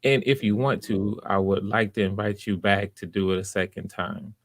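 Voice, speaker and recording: A man's voice: lowish voice, normal speed, vocal fry, no echo, clean studio sound. A man hosting auditions and giving encouraging, conversational feedback, often restarting his phrases. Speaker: audition host and director.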